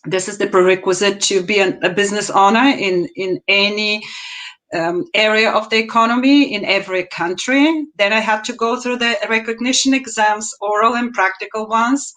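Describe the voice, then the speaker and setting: A woman in her fifties with a Bulgarian accent, speaking on a video call from a room at home as an interview guest and telling her story in a measured, deliberate way with emphatic rises. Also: mid-range voice, fairly even intonation, fairly bright tone. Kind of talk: interview answers, personal story.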